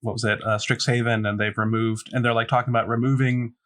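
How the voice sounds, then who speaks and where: A man's voice: mid-range voice, flat delivery, quick pace, a bit bright. A man who ran the game, chatting casually and laughing often about how the session went. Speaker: game master. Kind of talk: casual chat.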